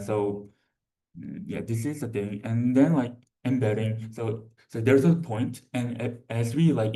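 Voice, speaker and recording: Young male student presenter, dark low voice, hesitant and filler-heavy, picked up from a distance. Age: young adult. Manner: halting, repetitive, heavy use of "like". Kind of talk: informal conference presentation. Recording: distant microphone.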